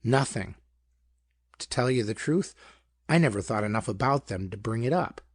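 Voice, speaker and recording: A man's voice: mid-range voice, expressive, normal speed, husky, no room sound. A man voicing a plain-spoken, worried small-town lawman in a pulp detective story, with slangy, colloquial talk. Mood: matter-of-fact. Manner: colloquial, slangy, hesitant explaining.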